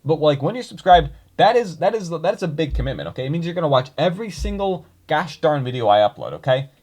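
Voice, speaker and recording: A man's voice: fairly high pitch, sing-song, fast talker, a little mellow. A young man chatting casually to his viewers, rambling with many fillers and restarts. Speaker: YouTuber reading comments.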